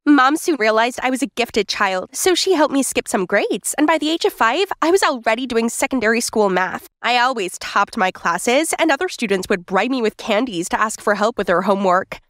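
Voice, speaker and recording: Woman as a high, lively girl genius storyteller. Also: normal speed, hardly any pauses, dry recording, clean studio sound. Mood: proud. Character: self-assured child-prodigy girl genius telling her life story. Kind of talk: scripted first-person story narration.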